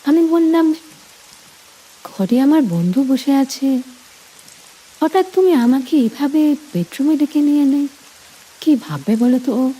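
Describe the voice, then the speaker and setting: A woman narrating a scripted romantic story in the first person, deliberately, in short phrases. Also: high-pitched, lively intonation, warm tone, poor audio.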